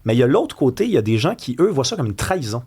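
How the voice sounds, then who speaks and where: A male radio host with a Quebec French accent who sets up the topic in an animated, opinionated way, with some fillers. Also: mid-range voice, very fast, breathy edge. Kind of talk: talk radio hosting.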